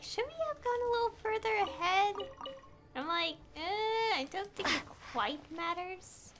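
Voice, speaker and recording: A woman's voice: high voice, sharp tone, a little hiss or hum. A woman giving casual live game commentary as a streamer, musing and thinking aloud.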